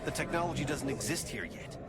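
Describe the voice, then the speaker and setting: An actor playing a brisk, scientific male superhero in a video game, urgent and clipped, giving terse instructions. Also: medium pitch, lively intonation, lightly acted. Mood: focused, terse. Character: brisk, scientific, anxious futuristic male superhero, commanding and dry. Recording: loud music behind the voice.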